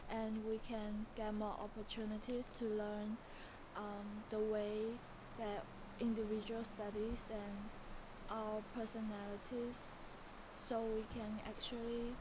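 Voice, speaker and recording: Flat-voiced teenage girl, hissy. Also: medium pitch. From China. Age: teens. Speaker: international student. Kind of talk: outdoor interview. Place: outdoors on a lawn by woods. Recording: clear background hiss.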